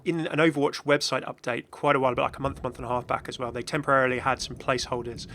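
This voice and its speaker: A man hosting a gaming video with casual, excited reaction commentary in a rambling, exclamatory way. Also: medium pitch, very fast, a little hiss or hum. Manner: rambling, exclamatory, chuckling asides.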